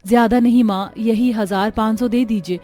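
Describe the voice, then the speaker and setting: A woman voicing a humble, gentle young daughter from a poor family in a cartoon story, speaking softly and earnestly. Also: on the high side, steady tone, moderate pace, lightly acted. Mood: earnest, hopeful.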